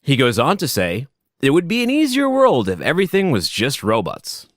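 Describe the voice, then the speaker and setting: A man hosting a solo podcast from his basement, talking loudly and casually, rambling and chuckling as he goes. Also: medium pitch, moderate pace, dry recording, studio quality. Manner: animated, rambling, self-interrupting, chuckles often; loud voice. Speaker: podcast host. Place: home basement.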